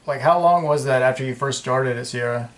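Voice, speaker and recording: Guy hosting an interview, mid pitch, eager, lots of ums. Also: fairly quick. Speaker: interviewer, host. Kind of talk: casual interview questions.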